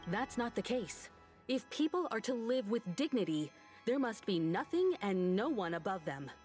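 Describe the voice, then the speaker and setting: A composed, articulate woman from a video game, played by an actress, with a medium-pitched voice. She is firm and earnest in her defiance, over faint music. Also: big pitch swings, normal speed, a little mellow, lightly acted. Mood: firm resolve. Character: composed, resolute woman defying a tyrant. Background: background game music.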